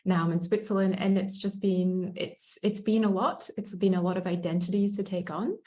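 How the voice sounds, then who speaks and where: A woman from Singapore speaking thoughtfully as a mediator on a panel, pausing often with ums. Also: medium pitch, warm tone. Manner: thoughtful, many pauses and ums. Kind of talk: reflective panel talk.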